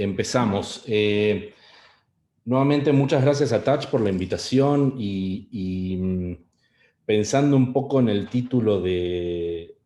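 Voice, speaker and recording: A man's voice: on the low side, dark tone, echoey room. A middle-aged man with an Argentine Spanish accent giving an online webinar talk, hesitant, with many fillers and restarts. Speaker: webinar speaker. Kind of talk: online presentation.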